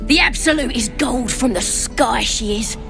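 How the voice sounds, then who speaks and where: A high-voiced female goblin, cocky and zealous. She talks in a colloquial, wheedling, boastful way, as in a fantasy video game, with music clearly behind her. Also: a little slow. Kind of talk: video game character acting.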